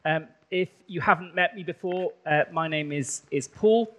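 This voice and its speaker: A man's voice: on the high side, moderate pace, muffled recording. A man from rural Wales, a bishop whose first language is Welsh, giving a chatty sermon in a church with many ums. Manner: warm, conversational preaching with frequent ums.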